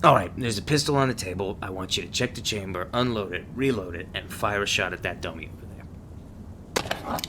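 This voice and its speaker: A man playing a gruff, sarcastic, guarded monster hunter mentor in an ASMR audio roleplay. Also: low-pitched, normal speed, background noise, lightly acted. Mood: scornful, exasperated. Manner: foul-mouthed, sardonic, scornful.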